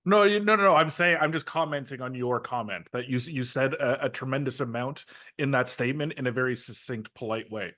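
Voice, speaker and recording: A man's voice: medium pitch, brisk, pushed and bright. A man co-hosting a comedy podcast, making dry, teasing remarks at his co-host. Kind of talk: casual comedic chat.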